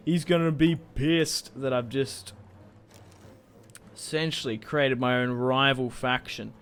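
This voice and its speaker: A man's voice: mid-range voice, fairly even intonation, takes it slowly, fairly bright tone. A young man casually commentating a strategy game he plays, chatty and rambling, swearing now and then. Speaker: gaming YouTuber. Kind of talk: casual let's-play commentary.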